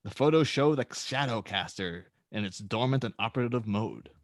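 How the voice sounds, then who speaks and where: A man's voice: fairly low pitch, lively intonation, normal speed, no echo, clean studio sound. A man reading out stage directions and scene headings as narrator in a script table read.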